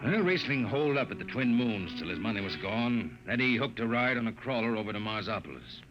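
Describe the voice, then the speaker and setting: A cynical, blunt spaceman narrator speaks with lively swings in pitch. Loud orchestral music plays behind him. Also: a little fast, highs cut off. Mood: narrating. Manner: blunt, gruff, sardonic. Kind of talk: 1950s radio drama acting. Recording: old radio broadcast over music.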